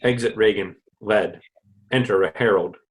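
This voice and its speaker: A man's voice: lowish voice, moderate pace, poor audio. A man reading out stage directions as the scripted narrator of a play.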